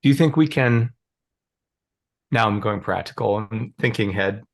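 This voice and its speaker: A man's voice: lowish voice, normal speed, creaky. A man in a playful, musing conversation as a guest on a video call, thinking aloud and joking lightly. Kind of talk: casual conversation.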